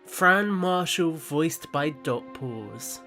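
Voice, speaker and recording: A man's voice: on the high side, animated, very slow, pushed and bright, soft background music. A man narrating an audio drama in a scripted storytelling style. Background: musical score.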